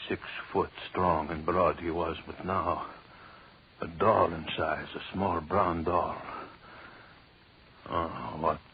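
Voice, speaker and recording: An actor playing a deranged, vengeful killer in an old radio horror play, brooding and gloating. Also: male voice, low voice, fairly even intonation. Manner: slow brooding murmur breaking into frantic commands. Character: deranged vengeful male killer, gloating over his revenge. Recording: muffled, noisy old radio broadcast.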